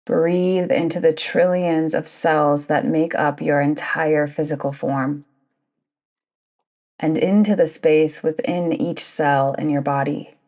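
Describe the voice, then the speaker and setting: A woman guiding a yoga nidra meditation in a soothing, deliberate manner, with long pauses between phrases. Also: low-pitched, steady tone, darkish tone. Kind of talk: guided meditation narration.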